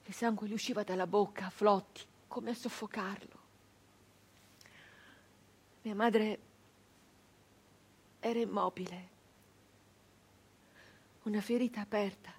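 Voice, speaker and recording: An actress playing a grieving, traumatized 19th-century peasant woman in a theatrical radio monologue, halting and intense, with breathy speech that can sink toward a whisper. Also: medium pitch. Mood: horrified, faltering. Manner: halting, intense, repeating phrases obsessively.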